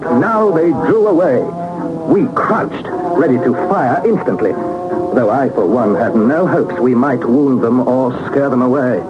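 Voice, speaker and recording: An actor playing a young gentleman adventurer recounting peril in a suspenseful, literary 1960s British radio drama style. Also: sits high. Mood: tense awe. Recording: dull 1960s radio recording, loud music behind.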